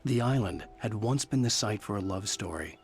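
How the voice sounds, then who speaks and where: An actor playing a troubled, weary American writer in a game, with brooding, terse dramatic narration and dialogue. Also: male voice, lowish voice, lively intonation, normal speed, creaky, a little hiss or hum. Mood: reflective. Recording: music behind the voice.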